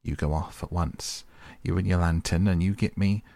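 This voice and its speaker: Bossy hearty water rat done by a man, deep gravelly voice, quick orders. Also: steady tone, dark tone, no room sound. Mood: commanding. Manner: hearty, commanding. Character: brisk masterful male water rat.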